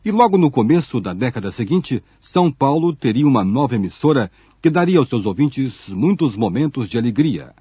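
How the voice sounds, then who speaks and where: A man's voice: medium pitch, sing-song, a little fast, few pauses, husky, no room sound. A Brazilian man reading scripted narration for a radio documentary. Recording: muffled phone-like shortwave radio archive audio.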